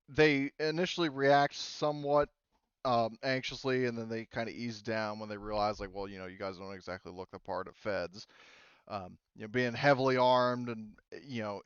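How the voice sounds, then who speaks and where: A man running a casual tabletop game as game master, explaining rules and narrating in an informal, halting way with frequent restarts. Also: medium pitch, fairly bright tone, clean tone, no room sound, muffled recording.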